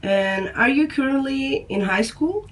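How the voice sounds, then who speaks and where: Measured woman interviewer. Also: high-pitched, lively intonation. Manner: measured, rephrasing questions. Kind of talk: interview questions.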